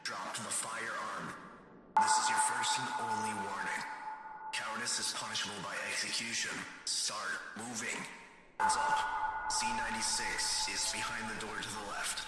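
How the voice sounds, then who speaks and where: A man's voice: lowish voice, level tone, moderate pace, over loud music, natural voice. A man playing a stern, menacing facility commander, giving formal, clipped orders as scripted military-style announcements in a game. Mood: cold, threatening. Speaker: game announcer and mission handler. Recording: echoey reverberant announcement system.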